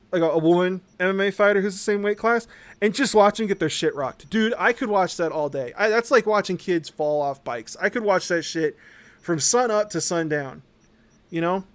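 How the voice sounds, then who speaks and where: A man hosting a livestream, rambling casually in an animated, sarcastic, sweary storytelling way. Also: high voice. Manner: acts out mocking quotes. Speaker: livestream host.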